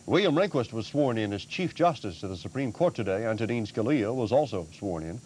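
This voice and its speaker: A man's voice: lowish voice, animated, fairly quick, a little mellow, low-quality recording. An American man reading scripted television news as an anchor, on a 1980s broadcast. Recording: 1980s TV broadcast, faint noise.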